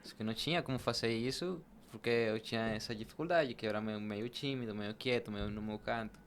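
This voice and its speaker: A man's voice: lowish voice, fairly quick, slight background noise. A young man from Latin America, telling his personal story conversationally as a podcast guest.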